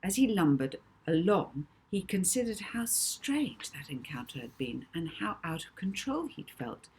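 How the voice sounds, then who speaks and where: A woman author reading her children's novel aloud with dramatic, deliberate emphasis. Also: fairly low pitch, big pitch swings, a little mellow, a little hiss or hum. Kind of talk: expressive children's audiobook narration.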